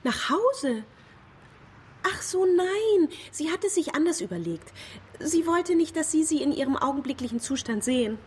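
A high, very animated voice, a haughty, erratic young woman in a radio drama. She is defensive and evasive on the phone, with street noise clearly behind her. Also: moderate pace. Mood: prickly, evasive. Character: unstable, evasive, prickly young woman on the telephone. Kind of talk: German radio drama acting.

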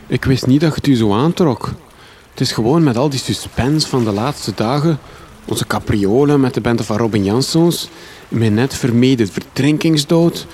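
An actor playing an earnest, wordy Flemish reporter hero in a comic radio drama. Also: male voice, medium pitch, a little mellow, slight background noise, close to an everyday voice.

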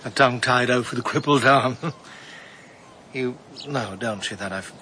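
An actor playing a shy, tongue-tied man in a radio drama, halting and earnest. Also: mid-range voice, pushed and bright, slight background noise, lightly acted. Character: Thomas, shy, awkward, devoted man. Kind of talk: BBC radio drama acting.

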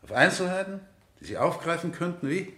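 A sarcastic male defence lawyer in a radio courtroom drama. His pitch swoops widely as he needles and insinuates, and the room around him echoes strongly. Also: expressive, brisk, bright, forceful tone. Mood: sarcastic, accusing. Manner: sarcastic, insinuating cross-examination. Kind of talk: radio play courtroom dialogue. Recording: roomy 1970 radio drama studio.

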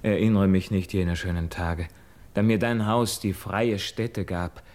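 An actor playing a young man haunted by guilt, declaiming classical verse drama in a solemn, measured way. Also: sits low, steady tone, a little mellow. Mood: pained remembrance.

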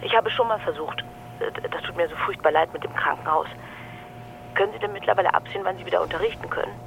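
A woman's voice: lowish voice, fast, gravelly, fairly bright tone, a little hiss or hum, rough recording. An actress playing an effusive, apologetic office woman talking over the telephone in a radio drama. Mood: apologetic, concerned. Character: chatty, solicitous adult education office woman on the phone.

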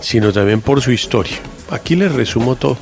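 A man's voice: mid-range voice, expressive, brisk, gravelly, a little mellow, faint music behind. A man hosting a radio show, chatting casually in a joking, teasing and opinionated way.

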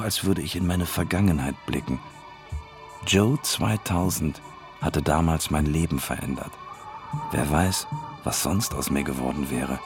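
An actor playing a world-weary, laconic noir narrator in a radio drama, telling the story with resignation. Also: male voice, sits low. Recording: music playing behind the voice.

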